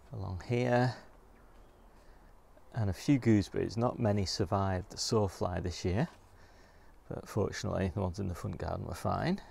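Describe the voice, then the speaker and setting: A man's voice: fairly low pitch, darkish tone. A middle-aged man giving a casual, unscripted garden tour in an understated way, musing aloud as he walks outdoors. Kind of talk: casual unscripted vlog narration while walking. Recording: clear outdoor background noise.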